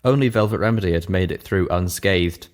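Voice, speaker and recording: A man's voice: sits low, a little fast. A man reading a scripted story aloud as its narrator. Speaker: audiobook reader, narrator.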